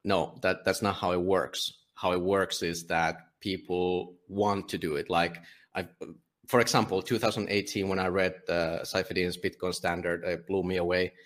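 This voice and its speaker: A Finnish man, a publisher and guest, talking casually in a conversational interview, often halting and restarting his sentences. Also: low voice, flat delivery. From Finland. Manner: halting, many restarts and fillers.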